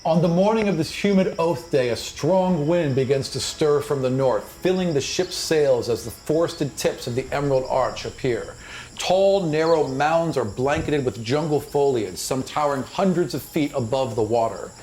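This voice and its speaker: A man's voice: on the high side, lively intonation, moderate pace, a little mellow. A man running a tabletop fantasy game as game master, mixing dramatic, descriptive narration with casual asides. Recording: clear background noise, insect ambience.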